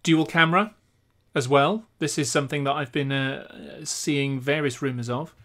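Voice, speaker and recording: Man, forties, podcast host, casual tech talk, slightly raised pitch, studio mic at home. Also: moderate pace, fairly bright tone. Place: small home study. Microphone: large condenser studio mic.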